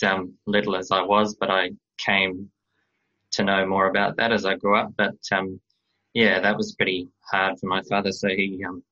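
A young man from Australia speaks in a very low, flat voice, searching for words with many pauses and fillers. His tone is bright and forward, though the recording sounds dull and muffled. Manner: hesitant, many ums and restarts. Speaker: guest. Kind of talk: radio interview.

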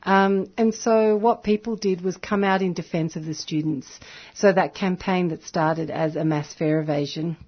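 A woman's voice: fairly low pitch, steady tone, normal speed. Earnest woman, from Chile, lo-fi radio. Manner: earnest, explanatory, with frequent ums. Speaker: community radio broadcaster and activist. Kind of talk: radio interview answers. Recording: dull lo-fi radio audio.

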